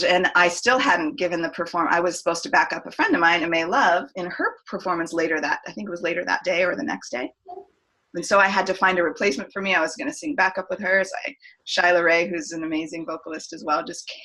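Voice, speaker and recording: Bright-voiced woman telling a story. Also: on the low side, poor audio. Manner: reflective storytelling with many restarts. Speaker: guest, singer and voice coach. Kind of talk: casual podcast interview.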